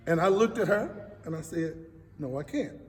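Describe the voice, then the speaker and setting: High-pitched man in an echoey hall. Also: moderate pace, a little hiss or hum. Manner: earnest, animated storytelling with acted-out quotes. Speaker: stage play director. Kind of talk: personal anecdote in an interview. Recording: echoey hall, distant microphone.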